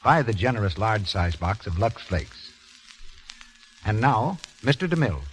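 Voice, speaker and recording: A male announcer delivering polished, scripted introductions and sponsor pitches in 1930s radio style, on an old broadcast recording. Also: big pitch swings, moderate pace, a little hiss or hum, rough recording.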